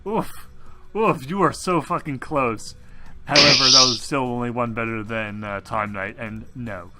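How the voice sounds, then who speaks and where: A man's voice: mid-range voice, a little slow, loud music behind the voice. A man acting as game master, narrating a tabletop roleplaying game in an informal, joking way with some swearing.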